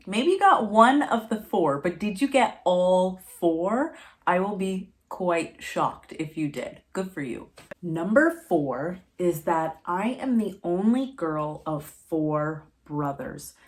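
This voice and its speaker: A woman, chatting playfully to camera while snacking and laughing often. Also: lowish voice. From Montreal, Canada. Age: 20s. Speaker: vlogger. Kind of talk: casual chat to camera while eating.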